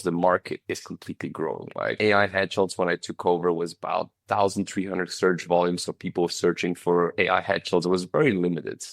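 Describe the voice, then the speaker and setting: A man's voice: low-pitched, vocal fry, no echo. A man from Flanders with a Flemish Belgian accent, answering conversationally as a podcast guest in an informal way, often quoting imagined dialogue. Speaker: company founder, podcast guest.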